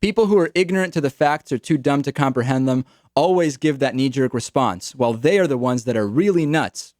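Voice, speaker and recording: A man's voice: medium pitch, fairly quick, darkish tone, no echo. Young male talk radio host, dry studio. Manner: casual, wry, repeats words.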